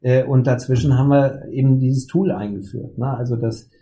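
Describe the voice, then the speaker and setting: A man's voice: medium pitch, steady tone, warm tone. A man talking casually in a podcast conversation, often stopping with fillers and restarting his sentences. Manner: halting, many fillers and restarts. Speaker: committee lead of an association.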